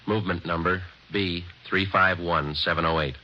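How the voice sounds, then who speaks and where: An actor playing a terse male police detective sergeant, speaking in a clipped, deadpan, matter-of-fact way in an old radio drama. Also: low-pitched, moderate pace, lightly acted. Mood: stern, clipped. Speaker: detective and narrator. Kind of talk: 1940s-50s radio drama acting. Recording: dull lo-fi old radio recording with faint hiss.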